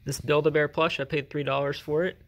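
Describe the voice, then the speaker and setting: A man casually talking through items he has sold, relaxed and rambling, often correcting himself, recorded on a handheld phone at home. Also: a little high, a little fast, gravelly. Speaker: reseller vlogger. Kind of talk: casual haul video narration. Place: indoors at home, filming items on floor.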